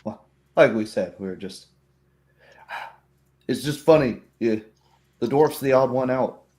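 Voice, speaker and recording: A man's voice: mid-range voice, measured pace, darkish tone, close to an everyday voice. A man playing a blunt, teasing farming villager in a plainspoken, folksy way.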